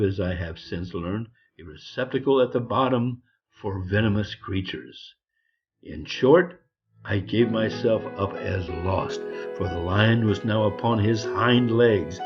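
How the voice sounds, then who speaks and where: A man reads a scripted storybook tale as a narrator, in a theatrical, measured storytelling manner. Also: fairly low pitch. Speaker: audiobook reader. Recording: dull lo-fi recording with music behind. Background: music.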